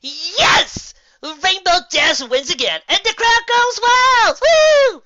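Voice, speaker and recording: Boastful pegasus speedster acted by a woman for a story: high, cocky, showboating, swooping excitedly. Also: slow, lo-fi sound, a crowd in the background, full character voice. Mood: gloating. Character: brash, boastful young female pegasus speedster.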